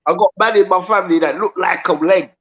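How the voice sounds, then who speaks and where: A man's voice: sits high, fast, fairly bright tone, muffled recording. A man joking boisterously in a casual live panel chat.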